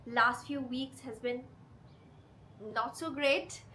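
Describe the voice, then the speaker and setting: A woman with a cold that leaves her voice hoarse and rough, hosting her channel and talking earnestly and directly to her viewers. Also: high voice, steady tone, takes it slowly, pushed and bright, slight background noise. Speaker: channel host. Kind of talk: confessional vlog talk to camera.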